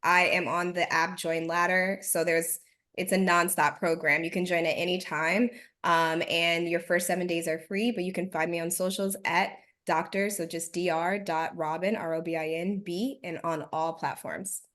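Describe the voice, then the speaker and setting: A woman fitness trainer speaking on a virtual panel over a video call, upbeat and telling stories at a quick clip. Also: lowish voice, level tone, fairly bright tone.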